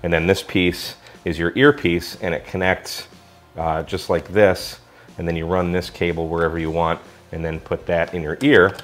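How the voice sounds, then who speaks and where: Deep voiced american guy doing a casual gadget review, chatty and into it. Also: fairly even intonation, normal speed, fairly bright tone. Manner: enthusiastic, colloquial. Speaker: product reviewer.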